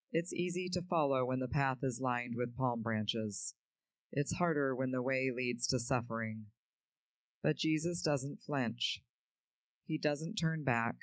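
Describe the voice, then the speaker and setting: A man giving a steady, solemn scripted reading. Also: medium pitch, no echo, poor audio. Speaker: reader.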